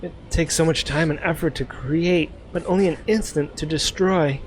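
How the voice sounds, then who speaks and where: A man's voice: fairly high pitch, moderate pace, a little hiss or hum. A man doing let's play commentary, reading game dialogue aloud in a playful, theatrical way with off-the-cuff asides.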